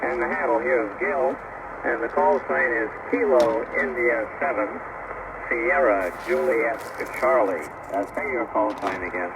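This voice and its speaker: A man in his eighties chatting casually and haltingly as an amateur radio operator, heard over a shortwave radio link. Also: mid-range voice, gravelly. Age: 80s. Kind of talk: casual ham radio chat. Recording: noisy, static-laden ham radio reception. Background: radio static and hiss.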